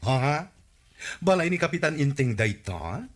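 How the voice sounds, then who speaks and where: A pushy, menacing male debt collector in an Ilocano radio comedy. He has an Ilocano accent, and his pitch moves in lively swings as he makes his demands. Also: a little slow. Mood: businesslike, insistent. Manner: demanding, bellowing, threatening.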